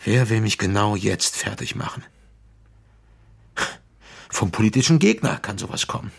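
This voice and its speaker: An actor playing a hard-nosed middle-aged politician in a radio drama, stern, lecturing and condescending in a naturalistic way. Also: male voice, lowish voice, expressive, normal speed, gravelly, husky, full character voice. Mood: cold, threatening.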